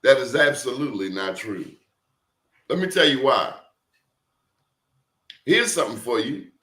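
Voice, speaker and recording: A man talks in a casual, animated way and drives his points home with emphatic rhetorical questions. His pitch rises and falls a lot as he tells his stories. The room has a clear echo around him. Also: unhurried. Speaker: professor and guest. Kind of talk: casual conversational talk.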